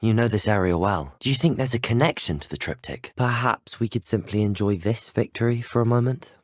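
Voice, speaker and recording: A man acting as a young British student wizard, the hero of a video game. He speaks politely and with curiosity in a low, slightly husky voice. His delivery is measured and well-spoken, recorded very dry and close. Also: no echo, lightly acted. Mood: composed, inquisitive. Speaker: video game player character. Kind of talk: scripted game dialogue.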